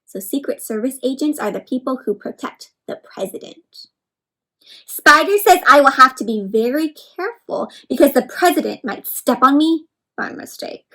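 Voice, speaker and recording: High-voiced woman reading aloud to children. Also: expressive, moderate pace, bright, forceful tone, low-quality recording. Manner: addresses children directly, asking questions and explaining words. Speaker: read-aloud storyteller for children. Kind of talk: interactive picture book read-aloud.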